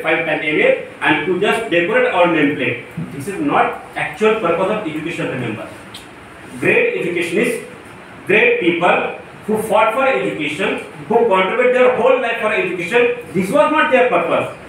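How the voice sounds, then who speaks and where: A man's voice: high voice, a bit bright. A man with an Indian Marathi accent gives a motivational lecture as a professor, speaking emphatically with repeated phrases and rhetorical questions. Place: echoey indoor room. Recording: very echoey room, distant mic, faint noise.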